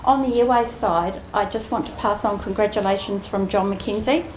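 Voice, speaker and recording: A woman giving a prepared ceremony speech as an executive, measured and earnest with deliberate emphasis. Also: mid-range voice. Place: indoor ceremony room. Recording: roomy echoing venue, faint background noise.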